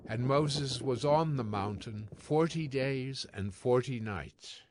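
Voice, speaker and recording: A man narrating scripture from a script in a solemn, measured storytelling manner. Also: medium pitch. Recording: prominent music bed behind narration.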